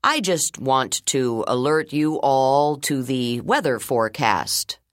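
A friendly, good-humored school principal giving the morning announcements, slow and measured, in a low voice that swings widely in pitch, as a woman reads him. The sound is close and dry, with no room around it. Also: lively intonation, dry recording, full character voice. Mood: announcing. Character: kind, funny male school principal on intercom.

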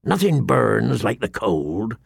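A man's voice: on the low side, sing-song, takes it slowly, gravelly, slightly rough, no echo, studio quality, pitched below the performer's own voice, full character voice. A man voicing a grizzled, grim old man-at-arms in an audiobook, with grave, rustic, plain speech. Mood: grim, hushed.

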